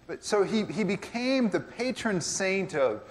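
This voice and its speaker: A man's voice: sits high, big pitch swings, a little slow. Rambling man telling a story in church. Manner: rambling, many fillers. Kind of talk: casual storytelling. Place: church sanctuary. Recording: echoey church hall.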